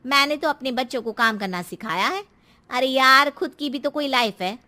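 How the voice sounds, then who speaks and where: A woman's voice: sits high, moderate pace, bright, forceful tone. A woman voicing an outspoken, chatty middle-aged housewife in a cartoon story, frank and full of advice. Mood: exasperated advice. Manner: frank, advising.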